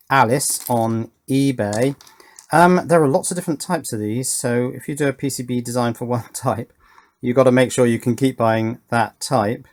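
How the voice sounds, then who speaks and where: A middle-aged man presents a hobby electronics tutorial at a medium pitch. He talks casually and without a script, working things out aloud as he goes. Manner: unscripted, thinking aloud. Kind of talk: casual hands-on tutorial narration.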